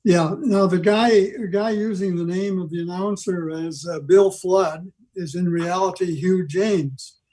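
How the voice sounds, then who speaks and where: An older man giving an informal history talk on a video call, rambling along with halting digressions. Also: sits high, fairly even intonation, mellow. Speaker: club member giving a presentation. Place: video meeting from home.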